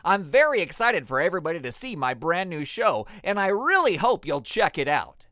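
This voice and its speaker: A man's voice: sits high, big pitch swings, brisk, pushed and bright, dry recording, studio quality. A man hosting a podcast, reading scripted announcements in an upbeat show-host style.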